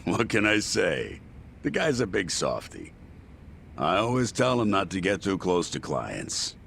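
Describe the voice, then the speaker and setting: An actor playing a sly, crooked detective in a video game, wry and self-assured. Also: male voice, low voice, wildly swooping pitch, a little slow, vocal fry, a bit bright, a little hiss or hum, full character voice. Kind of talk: video game cutscene dialogue.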